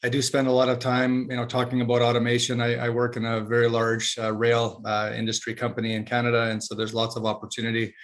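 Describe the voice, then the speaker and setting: A man hosts a casual podcast interview at a middle pitch, stopping and restarting as he goes. His delivery is unhurried and friendly in tone. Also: completely flat delivery. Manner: halting, with many fillers. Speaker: podcast host.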